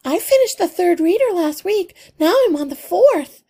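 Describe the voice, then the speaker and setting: A woman voicing a shy young female rat in a storybook reading, high and breathless with excitement. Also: normal speed, gravelly, exaggerated voice. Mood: eager.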